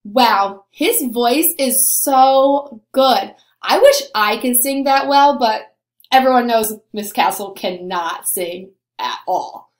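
Young woman, slightly high voice, elementary teacher, simple deliberate explanations, roomy echoing computer mic. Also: animated. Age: young adult. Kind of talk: recorded lesson for young children. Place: small indoor room. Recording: roomy, echoing home recording.

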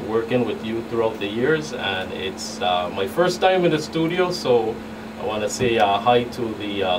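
A middle-aged man speaking hesitantly and politely as a guest on a radio show. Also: lowish voice. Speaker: guest federal agent. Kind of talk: informal radio interview remarks. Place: small radio studio. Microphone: boom-arm studio microphone. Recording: slightly distant, clear room hum.

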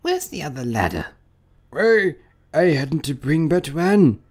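Busy animal workmen from a storybook, all acted by a man, pitched up and very animated. They bicker in brisk, colloquial speech and call orders to each other. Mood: bustling.

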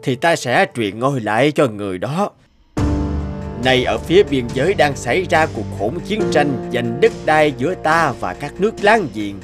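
Man voicing cartoon fairy-tale royal commander, formal, declamatory, animated pitch swings, music behind. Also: normal speed, natural voice. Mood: solemn.

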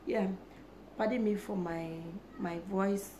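A hoarse woman, chatting slowly to camera for her channel and often repeating herself. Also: mid-range voice, steady tone, mellow, slight background noise. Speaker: YouTuber. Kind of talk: casual talk to camera. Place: small indoor room.

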